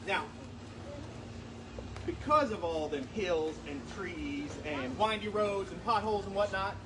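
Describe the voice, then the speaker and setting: Man, high voice, folksy live storyteller and show host, colloquial rural phrasing, distant noisy recording. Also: expressive, unhurried. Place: live show at an art guild event. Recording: distant mic, noisy live event ambience.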